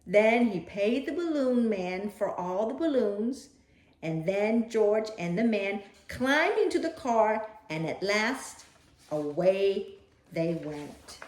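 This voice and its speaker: Expressive, echoey woman reading to children. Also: medium pitch, slow pace. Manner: simple, direct phrasing aimed at young children. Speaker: host of a children's read-aloud show. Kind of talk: expressive storybook read-aloud and talk addressed to children.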